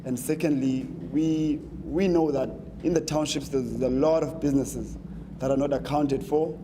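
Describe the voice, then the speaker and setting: A man's voice: mid-range voice, steady tone, moderate pace. A young man from the Eastern Cape with a South African accent, a student presenting his hackathon project on stage, hesitant with many ums and restarts. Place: conference stage. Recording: noisy live event audio.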